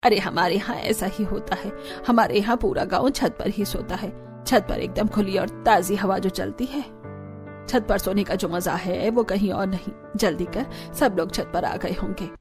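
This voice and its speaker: A woman voicing a bossy, cheerful older village mother-in-law with a brisk, coaxing, self-assured manner in a cartoon story. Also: mid-range voice, over music, voice pushed down, natural voice.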